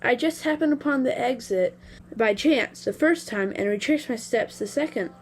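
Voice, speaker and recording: A woman's voice: fairly high pitch, animated, normal speed, breathy edge. A woman playing a modest, brainy child in an audio drama, with precise, bookish phrasing. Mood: puzzled, matter-of-fact.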